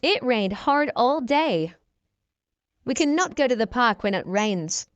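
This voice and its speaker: A woman English teacher reads everyday dialogue lines for learners, slowly and clearly. Her voice sits high and swings widely in pitch. She repeats each exchange at an unhurried pace. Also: lively intonation, no room sound. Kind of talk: reading practice dialogues for learners.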